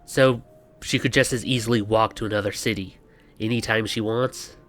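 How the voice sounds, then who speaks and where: A man's voice: lowish voice, steady tone, moderate pace, a bit bright. A man hosting a scripted explainer with banter, speaking in a wry, sarcastic way with exasperated asides. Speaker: video host. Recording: music behind the voice. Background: background music.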